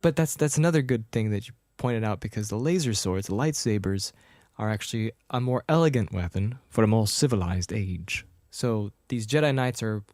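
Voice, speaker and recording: A man's voice: on the low side, animated, moderate pace, hardly any pauses, darkish tone, no echo, clean studio sound. Chatty man retelling a movie. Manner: rambling retelling with playful character impressions. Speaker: podcast co-host. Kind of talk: casual chat.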